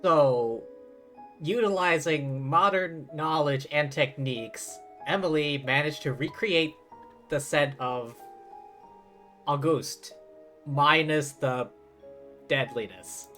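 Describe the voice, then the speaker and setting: Slow, deliberate male game streamer. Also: fairly high pitch, sharp tone. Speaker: streamer playing a story game. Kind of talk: reading along and recapping game dialogue. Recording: game music behind the voice.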